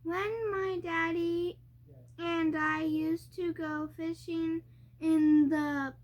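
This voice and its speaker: Little kid reading a story out loud, high voice, super slow and hesitant. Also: level tone. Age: child. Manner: hesitant, word-by-word, stumbling over harder words. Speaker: young reader reading aloud with mother. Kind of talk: halting storybook read-aloud.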